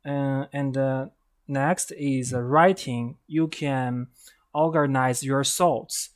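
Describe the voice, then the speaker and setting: Chinese guy hosting, slow careful english, lots of uhs. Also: medium pitch, fairly even intonation. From China. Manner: hesitant, many uh fillers. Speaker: interviewer, English learner. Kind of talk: casual interview.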